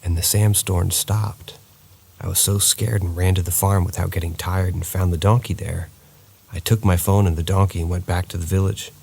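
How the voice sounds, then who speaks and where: A man narrating true paranormal stories in a steady, somber reading voice. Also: sits low, normal speed, vocal fry, slight background noise.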